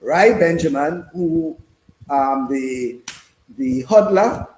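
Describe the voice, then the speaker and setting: A man hosting a casual live sports discussion, speaking haltingly and repeating himself. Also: a little high, big pitch swings, darkish tone. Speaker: sports radio host. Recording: roomy, noisy room sound.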